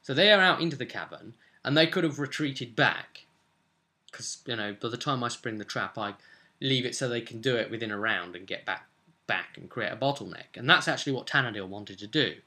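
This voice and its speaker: A man in his twenties with a bright, forward voice talks casually as a game master. He recaps a tabletop role-playing session in an explanatory, vlog-like way, with an ordinary male pitch. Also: a little fast. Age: 20s. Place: small cluttered room at home.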